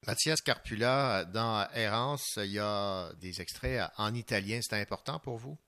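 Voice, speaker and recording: Male interviewer, bright crisp tone, measured questions, somewhat off-mic. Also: fairly low pitch.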